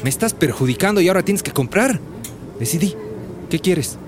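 An actor playing a crooked, pushy market butcher in a radio drama, with a Bolivian accent and a slangy, singsong vendor's way of talking that wheedles and bullies. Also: male voice, on the high side, lively intonation, brisk, over music, lightly acted. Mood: bullying, impatient. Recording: clear outdoor street noise behind.

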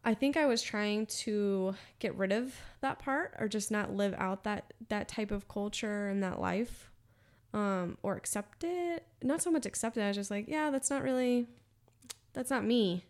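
A woman hosting a podcast from the United States, chatting casually, animated and emphatic, laughing often. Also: medium pitch, measured pace. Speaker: podcast host. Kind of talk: casual conversation.

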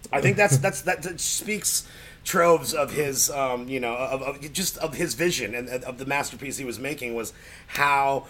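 A man in casual conversation about films, talking in a rambling, halting, crudely joking way. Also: mid-range voice, fairly bright tone. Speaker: podcast guest, actor. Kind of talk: casual film chat.

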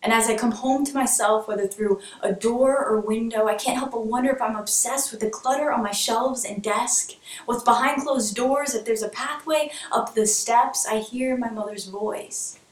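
A woman with a fairly high voice performs spoken word poetry, leaning on rhythm and rhyme and sometimes sinking to a hushed near-whisper. She stands back from the mic, and the room around her rings with echo. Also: moderate pace. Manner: rhythmic rhyming delivery, drops to a hush. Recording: echoey room from far off.

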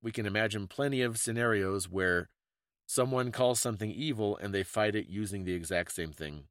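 A man's voice: fairly low pitch, moderate pace, a bit bright, dry recording, studio quality. A man co-hosting a podcast, explaining things in a casual, conversational way with thoughtful, slightly hesitant phrasing. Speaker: podcast co-host.

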